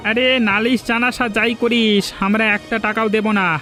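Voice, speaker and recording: A resolute cartoon market trader from a village. The voice is very high and fairly monotone, blunt and rapid, with music behind it. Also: male voice. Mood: defiant. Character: defiant village market trader rallying others. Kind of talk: cartoon folk-tale voice acting.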